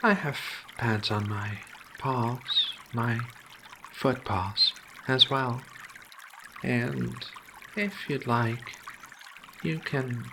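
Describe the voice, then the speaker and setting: Performer as furry female alien researcher, ASMR roleplay, soft-spoken, affectionate, very drawn-out pacing, noisy trickling ambience. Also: medium pitch, steady tone, gravelly. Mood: gentle, relaxed. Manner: soft-spoken, soothing, unhurried, with pauses.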